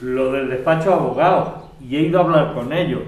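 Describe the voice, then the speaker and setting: Un actor con acento castellano que interpreta a un anciano pensionista humilde y resignado, de habla llana, en una ficción sonora. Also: male voice, medium pitch, fast, natural voice. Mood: resigned. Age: older. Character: Don Emilio, humble old pensioner tenant. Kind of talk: scripted audio drama. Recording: echoey room.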